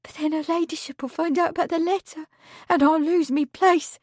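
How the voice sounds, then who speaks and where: A woman's voice: sits high, a little slow, a little mellow, dry recording, studio quality, voice pushed up. A woman voicing a frightened young housemaid who talks in rustic dialect and pleads through tears. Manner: rustic dialect, sobbing pleas.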